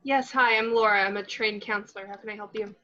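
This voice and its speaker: Brisk woman reading a young crisis counsellor. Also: medium pitch, steady tone, bright, forceful tone, lightly acted. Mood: calm, professional. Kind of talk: amateur table reading. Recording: dull lo-fi microphone sound.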